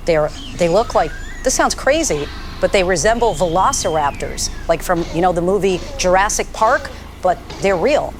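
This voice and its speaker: A woman playing a shaken TV news correspondent in an audio drama, reporting live in a halting way. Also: on the low side, lively intonation, slight background noise, lightly acted. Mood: shocked, disbelieving. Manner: halting, stammering, disbelieving. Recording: faint vehicle and outdoor ambience.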